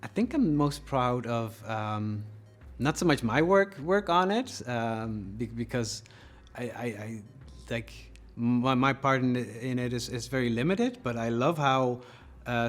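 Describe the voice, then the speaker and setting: A man casually explaining his work as a developer in an interview, informally thinking aloud. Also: mid-range voice. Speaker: creative developer. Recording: music behind the voice.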